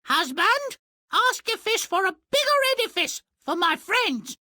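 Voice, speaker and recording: A man's voice: extremely high pitch, big pitch swings, measured pace, bright, forceful tone, no room sound, studio quality, exaggerated voice. A man playing a greedy, imperious fisherman's wife, demanding and pompous, in a cartoonish fairy-tale game.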